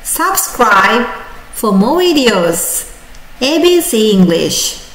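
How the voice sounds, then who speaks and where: A woman narrating a children's storybook in an expressive, deliberate, drawn-out read-aloud style. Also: a little high. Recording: roomy, echoing sound.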